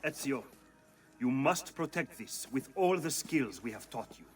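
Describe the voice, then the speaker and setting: Man acting animated, shrewd strategist. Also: mid-range voice, unhurried, distant mic, faint music behind, natural voice. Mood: solemn. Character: serious, shrewd Italian strategist and assassin mentor. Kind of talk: video game cutscene acting.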